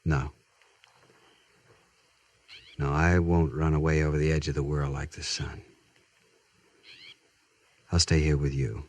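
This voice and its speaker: Earnest, husky young cavalry lieutenant. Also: male voice, very deep voice, little pitch movement, slow pace, long pauses, darkish tone, no echo, exaggerated voice. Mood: tender, wistful. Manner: sincere, well-spoken. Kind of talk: 1950s radio drama acting.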